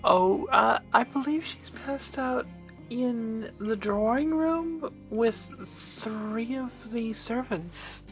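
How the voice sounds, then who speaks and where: A woman's voice: a little high, takes it slowly, soft background music. A woman playing a nervous, deferential servant to a vampire princess, hesitant and flustered, in an ASMR roleplay.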